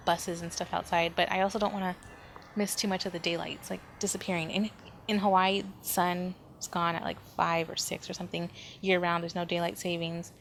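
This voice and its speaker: A woman hosting a solo podcast, talking casually and informally, rambling as she thinks aloud. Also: lowish voice. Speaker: podcast host. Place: indoors at home, near street noise. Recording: background noise, ambient room sound.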